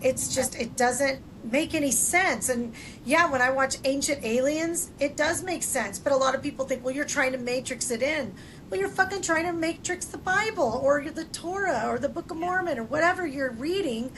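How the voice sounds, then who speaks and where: Older woman, fairly high voice, blunt salty podcast chat, informal, noticeable background noise. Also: normal speed, a bit bright, rough recording. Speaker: podcast co-host. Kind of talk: casual chat. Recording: clear background noise, low rumble.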